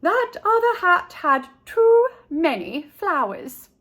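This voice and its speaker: A woman's voice: extremely high pitch, big pitch swings, slow, fairly bright tone, pitched above the performer's own voice, full character voice. A woman voicing a chirpy, vain older lady neighbour in a theatrical, sing-song storybook style. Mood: chirpy, pleased.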